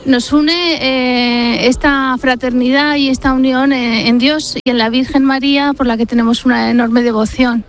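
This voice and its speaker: A woman answering an interviewer outdoors as one of a group of pilgrims. Her voice is high and her delivery casual and unscripted. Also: steady tone, normal speed, hardly any pauses. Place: outdoors in a meadow.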